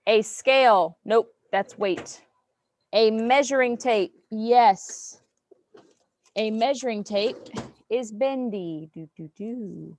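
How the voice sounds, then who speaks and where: A woman teaching an online math class, counting through problems step by step. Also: mid-range voice. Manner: patient, step-by-step counting aloud. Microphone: video call.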